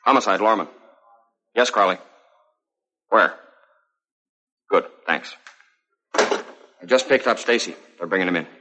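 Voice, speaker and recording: An actor playing a no-nonsense police homicide captain in a 1950s crime drama, speaking curtly and decisively. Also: male voice, low voice, measured pace, long pauses, sharp tone. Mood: brisk. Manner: curt, decisive.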